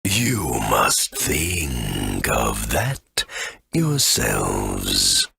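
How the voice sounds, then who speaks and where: An extremely low, husky ancient tree spirit, kindly and forgetful, for a video game. The delivery is slow and halting, swinging widely in pitch as he ponders. The sound is dry and close. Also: huge pitch swings, sharp tone, dry recording, full character voice. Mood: instructive. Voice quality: deep gravelly, husky. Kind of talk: video game character acting.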